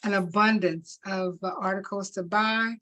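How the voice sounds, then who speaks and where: Female talk host, lively and friendly, video-call audio. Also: mid-range voice, moderate pace. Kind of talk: casual chat. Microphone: video call mic.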